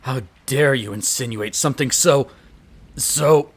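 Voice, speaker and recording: A man acts out a proud male vampire aristocrat for an audio roleplay. He is haughty and easily flustered, with formal, imperious phrasing and a mid-pitched voice. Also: unhurried. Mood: flustered, indignant. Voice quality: haughty, clipped formal diction.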